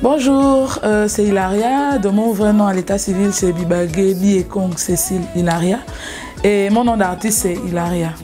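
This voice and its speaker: A woman's voice: medium pitch, fairly even intonation, normal speed, over music. A young woman from Gabon, a singer, telling her story in an interview, hesitant, with many fillers and restarts. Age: young adult.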